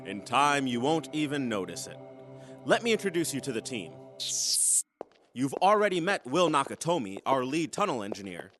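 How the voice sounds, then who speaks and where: An actor playing a commanding, smooth-talking mission leader with a secret scheme, authoritative and theatrical in a sci-fi audio drama. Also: male voice, medium pitch, sing-song, moderate pace, a bit bright, faint music behind. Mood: brisk, amused.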